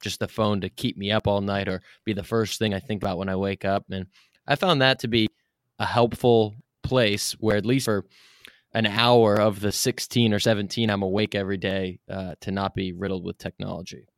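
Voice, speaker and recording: Casual male host with a lowish voice. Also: flat delivery, normal speed, dry recording, clean studio sound. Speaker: podcast host, interviewer. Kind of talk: casual interview chat.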